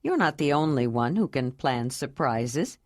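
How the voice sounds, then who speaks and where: A woman voicing an earnest, loving young man in an audiobook, lowering her voice to speak calmly. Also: measured pace, no echo, clean studio sound, full character voice. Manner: low, calm and earnest.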